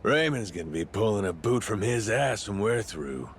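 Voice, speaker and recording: An actor playing a rough, cocky but loyal pirate captain with swaggering, slangy tough talk in a video game. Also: male voice, fairly low pitch, lively intonation, normal speed, slight background noise. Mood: defiant, determined. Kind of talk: video game cutscene acting.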